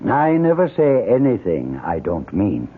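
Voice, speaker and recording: An actor playing an imperious, sanctimonious cult leader in a radio drama, speaking in a formal, oratorical, coolly menacing way. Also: male voice, fairly low pitch, huge pitch swings, a little slow, husky, faint music behind, heavily acted. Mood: coolly challenging. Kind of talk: old-time radio drama acting. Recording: thin, tinny old radio broadcast.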